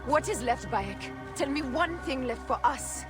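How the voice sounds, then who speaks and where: A wronged Egyptian warrior woman for a video game. She is fierce and vengeful, speaking in short, commanding bursts. Music plays clearly behind her. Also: high-pitched, expressive, a little slow. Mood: bitter. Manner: curt, commanding, intense. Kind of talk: dramatic video game acting. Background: background music.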